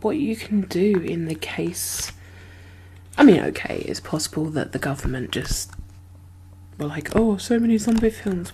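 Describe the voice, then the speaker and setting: A woman playing a caring, uneasy survivor of a zombie apocalypse in a soft-spoken ASMR roleplay, breathy and hesitant, often slipping into whispers. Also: medium pitch, a little hiss or hum, low-quality recording. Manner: hesitant, musing, halting.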